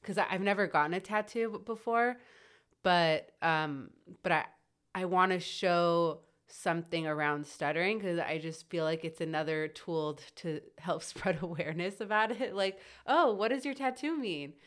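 A woman's voice: on the low side, moderate pace. A woman who stutters, chatting casually; her first language was Hebrew. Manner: stutters, light and laughing. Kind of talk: casual group chat.

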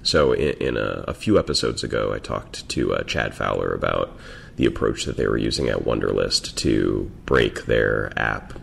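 Very deep gravelly male podcast host. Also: normal speed, slight background noise. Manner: relaxed, conversational questioning. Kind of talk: casual interview.

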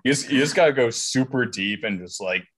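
Slightly raspy man chatting as podcast guest. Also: mid-range voice, animated, brisk, fairly bright tone. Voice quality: a little raspy. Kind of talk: casual chat.

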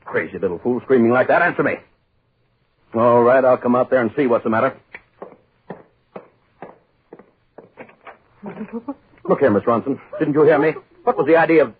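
An actor playing a bullying, bad-tempered middle-aged publisher, barking imperiously in 1940s radio style. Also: male voice, medium pitch, fast talker, husky, natural voice. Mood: impatient. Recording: scratchy, noisy narrowband 1940s radio recording.

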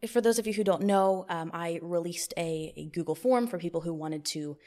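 A woman podcast host running a mock-stern job interview in a deadpan manner, recorded in an echoey new studio. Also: on the low side, normal speed.

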